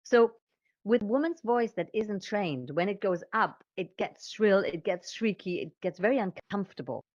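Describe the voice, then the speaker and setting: A woman explaining things conversationally as a voice coach, with an expressive, emphatic way of talking. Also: medium pitch, moderate pace, dry recording, muffled recording.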